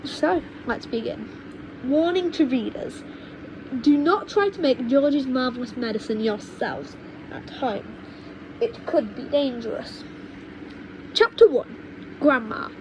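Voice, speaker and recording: High-voiced woman reading a storybook. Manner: halting, often rereads and corrects words. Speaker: audiobook reader. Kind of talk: children's storybook narration. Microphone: cheap home microphone. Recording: noisy low-quality home microphone.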